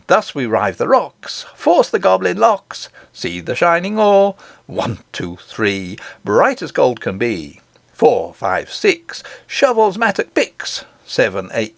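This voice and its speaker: A man's voice: on the high side, creaky, no room sound, voice pushed up, exaggerated voice. A man voicing a merry young miner boy in a storybook reading, chanting a counting song in a jaunty sing-song.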